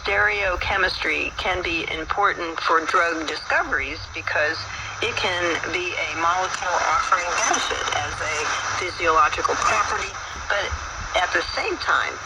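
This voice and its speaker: A man hosting a radio talk, explaining informally and reading aloud in a halting, folksy way, heard over shortwave radio. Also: high-pitched. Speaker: radio show host. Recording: very noisy shortwave radio broadcast, distant.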